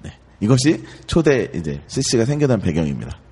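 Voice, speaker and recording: A man's voice: fairly low pitch, sing-song, fairly quick, dark tone, breathy edge, long reverb tail. A man in his thirties, a ministry staff guest, recounting history hesitantly with many fillers. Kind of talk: casual storytelling.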